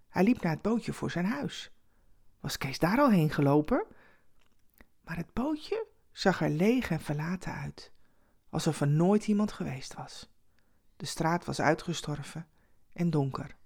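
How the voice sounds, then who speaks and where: A woman's voice: fairly low pitch, creaky, darkish tone, husky. A woman storyteller reading a scripted Christmas tale as dramatic narration.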